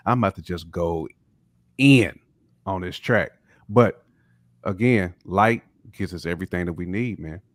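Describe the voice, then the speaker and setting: A man co-hosting a hip hop podcast, talking in a relaxed but emphatic casual way. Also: deep voice, measured pace, pauses often, dry recording, clean studio sound. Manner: relaxed, emphatic, repeats "you know what I'm saying". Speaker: podcast co-host.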